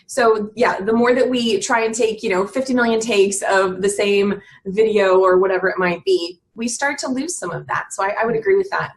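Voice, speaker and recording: A woman's voice: medium pitch, moderate pace, few pauses, rough recording. A woman in her thirties talking earnestly as an interview guest, often restarting her sentences and filling with "you know". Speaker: guest on a business podcast. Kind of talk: reflective conversational chat.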